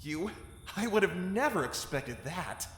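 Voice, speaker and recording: A loyal, wry soldier in an audio drama. His fairly high voice swings widely and teases in an easy conversational way, ringing in a long, echoey reverb. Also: sing-song, measured pace, a bit bright, heavily acted. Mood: surprised, teasing.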